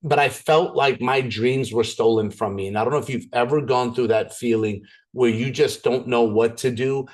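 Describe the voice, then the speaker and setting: A man from Canada, probably in his thirties, giving a chatty, joking business presentation over a video call. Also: medium pitch. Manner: chatty and joking, goes off on tangents.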